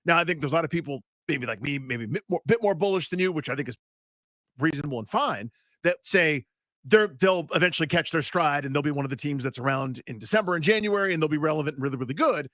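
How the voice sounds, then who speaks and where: A man's voice: a little high, animated, fast talker, a bit bright, no room sound, studio quality. A man co-hosting a sports talk radio show who lays out his reasoning at length. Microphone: studio mic.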